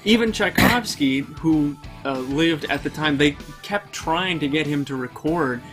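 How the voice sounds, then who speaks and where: Man chiming in, slightly high voice. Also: a bit bright, soft background music. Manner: chatty, quick agreeing interjections, offhand joking. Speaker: let's play co-host. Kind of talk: casual chat while gaming.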